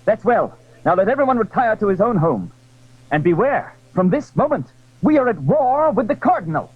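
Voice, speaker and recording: A man's voice: sits high, sing-song, moderate pace, slightly rough, no echo, phone-quality sound, voice pushed up, heavily acted. A man playing a gallant, eager young swordsman who speaks in bold exclamations in an adventure audio drama. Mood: rousing, resolute.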